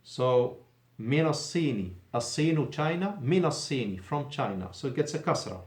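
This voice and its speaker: A man's voice: mid-range voice, expressive, moderate pace, echoey room. A male Arabic teacher giving a patient classroom lesson in a mosque, explaining in English and repeating Arabic phrases.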